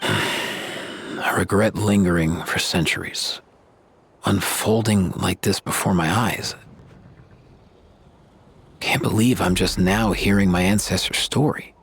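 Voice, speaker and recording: Casting: weary game vet, very low husky voice, slow and brooding. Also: male voice, exaggerated voice. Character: weary male vet weighed down by ancestral regret. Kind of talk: scripted game dialogue.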